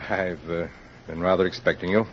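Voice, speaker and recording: An actor playing a reserved, guarded male lawyer in an old radio mystery, speaking in a measured, formal way. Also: low-pitched, steady tone, husky. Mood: guarded politeness. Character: reserved, guarded male attorney and loyal friend. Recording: dull, noisy old radio recording.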